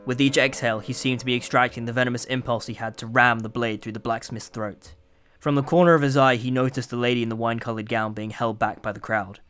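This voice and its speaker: A man with a mid-pitched voice reads as the narrator of an audio drama. His delivery is scripted, dramatic storytelling. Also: steady tone, fast talker, few pauses, a bit bright, smooth.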